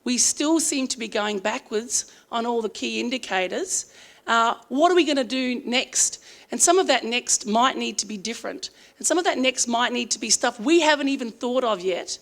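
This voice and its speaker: A woman's voice: fairly high pitch, expressive, moderate pace, vocal fry. Australian woman in middle age, conference speaker, earnest and chatty with lots of ums, slightly strained voice. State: strained voice from singing. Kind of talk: conference presentation.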